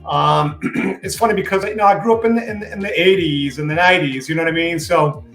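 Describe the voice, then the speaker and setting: A man's voice: fairly high pitch, fast, fairly bright tone, faint music behind. Chatty man on a roomy video call. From Puerto Rico. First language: Spanish. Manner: chatty, many "you know" fillers. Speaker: podcast guest. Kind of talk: casual interview talk. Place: home basement room.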